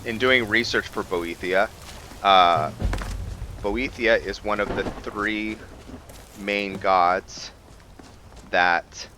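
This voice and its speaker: A man giving casual gameplay commentary on a roleplay, thinking aloud and often pausing to find his words. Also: fairly low pitch, fairly even intonation, bright, forceful tone, slight background noise. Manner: musing, thinking aloud with frequent hesitations. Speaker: gaming video host.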